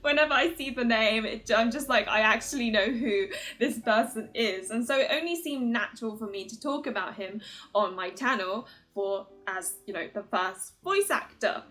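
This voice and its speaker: A woman's voice: on the high side, moderate pace, fairly bright tone. A woman hosting her own video, chatting casually to viewers in a giggly, informal, rambling fangirl manner. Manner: giggly, gushing fan talk, informal and rambling. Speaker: host of a video channel about otome games.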